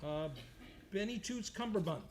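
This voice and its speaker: A man's voice: high voice, expressive, normal speed, a little mellow. A man hosting a live old-time radio show, announcing from a script in a theatrical, playfully comic way on a theater stage. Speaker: series host and announcer. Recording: clearly noisy live stage recording.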